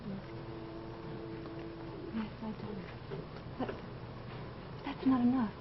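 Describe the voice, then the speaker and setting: Lonely eerie widow in old radio horror show, wistful n intense, muffled noisy recording. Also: female voice, a little high, fairly even intonation, slow. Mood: lonely, yearning. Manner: gracious, wistful, dramatically intense. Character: lonely, yearning widow of a musician, haunted and eerie. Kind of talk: 1940s radio horror drama acting.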